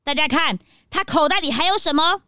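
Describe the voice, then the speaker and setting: An eager young raccoon helper in a children's audio drama. The voice is childlike, bright and very high, recorded dry and close. The delivery is excitable, proud and easily indignant. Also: female voice, fairly quick, dry recording. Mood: triumphant.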